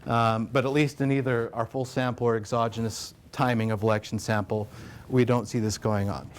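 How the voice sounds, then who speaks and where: An American man presenting research at an academic conference, talking in a relaxed way with many fillers and restarts. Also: mid-range voice, brisk, a little hiss or hum. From United States. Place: conference room.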